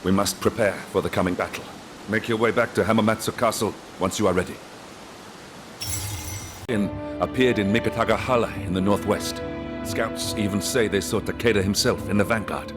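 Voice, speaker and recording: Game role: male actor as shrewd samurai field officer, loyal and businesslike, fairly deep, clear score underneath. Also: fairly even intonation, fairly quick, lightly acted. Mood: businesslike, urgent. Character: shrewd, dutiful samurai retainer and battle tactician. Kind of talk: video game cutscene acting. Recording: music under the voice.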